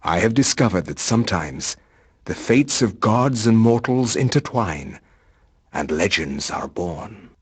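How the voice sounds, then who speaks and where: Grave, lowish, husky scholar. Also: male voice, expressive, dry recording. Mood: pensive, grave. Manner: measured, pensive. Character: grave, reflective scholarly narrator of dark legend. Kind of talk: scripted dramatic game narration.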